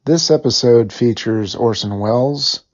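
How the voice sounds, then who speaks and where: A man hosting a podcast, giving a casual, halting, conversational introduction. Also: mid-range voice. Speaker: podcast host. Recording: slightly dull recording.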